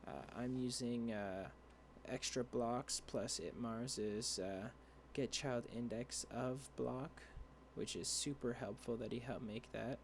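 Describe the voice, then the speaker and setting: A young man explaining a coding tutorial over a screen share, rambling and halting with many fillers and restarts, his voice dry. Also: mid-range voice, steady tone, a little hiss or hum. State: dry voice, clearing throat. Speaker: tutorial creator.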